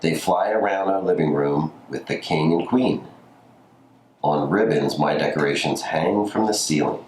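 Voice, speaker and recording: A man's voice: very deep voice, measured pace, a little mellow. A man reading a children's holiday picture book aloud as a scripted narration. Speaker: community story reader. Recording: roomy echo, faint background noise.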